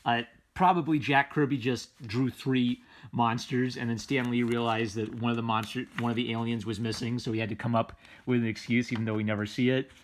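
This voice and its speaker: A man's voice: mid-range voice, flat delivery, a little fast. Casual, rambling man reviewing comic books. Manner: rambling and enthusiastic, often circling back on phrases. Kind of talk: casual unscripted commentary.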